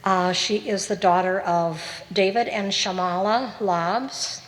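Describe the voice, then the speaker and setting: A woman's voice: medium pitch, fairly even intonation, slow, long reverb tail, rough recording. A woman emceeing a community stage show, introducing young performers. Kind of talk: stage announcements and singing.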